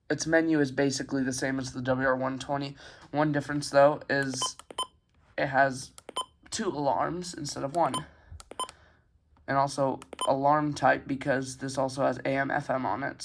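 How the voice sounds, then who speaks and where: A young man giving a casual, halting, informal product showcase as a hobbyist reviewer. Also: mid-range voice, level tone, creaky, noisy background. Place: carpeted room at home.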